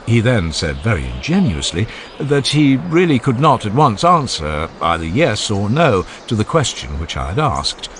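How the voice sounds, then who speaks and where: A man reading dramatized scripted narration in a formal, expressive storytelling manner. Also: lowish voice, normal speed, a little hiss or hum. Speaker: audiobook narrator.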